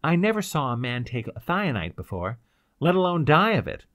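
A man voicing a desperate, excitable young captive with strained, urgent outbursts in a pulp science fiction audiobook. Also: on the high side, normal speed, no room sound, studio quality, voice pushed up. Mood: bitter.

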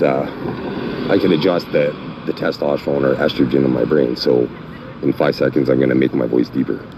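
Middle-aged man, extremely low voice, halting delivery with constant fillers, noisy phone recording. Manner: halting, many fillers and restarts. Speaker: channel owner. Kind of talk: rambling commentary, half-chanted lyric reading. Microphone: phone mic.

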